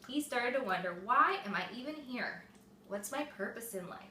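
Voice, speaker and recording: A woman reading a picture book aloud to young children, expressive and explaining things simply, like a mother at storytime. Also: on the high side, moderate pace. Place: echoey room with small children present. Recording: echoey room, distant mic, faint noise.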